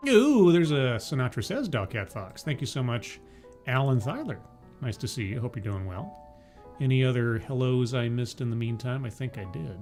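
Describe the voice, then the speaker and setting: A man streaming live, mocking a talk he is watching with deadpan, stammering asides and greeting his chat. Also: medium pitch, normal speed, soft background music. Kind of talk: sarcastic live commentary.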